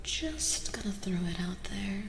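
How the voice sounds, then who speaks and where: A woman speaking softly and gently in casual ASMR style as a streamer reading tarot, often dropping to a whisper, close to the microphone. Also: medium pitch, lively intonation, a little slow, gravelly. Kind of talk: soft-spoken ASMR, casual live chat. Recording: reverberant echo with music behind. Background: music.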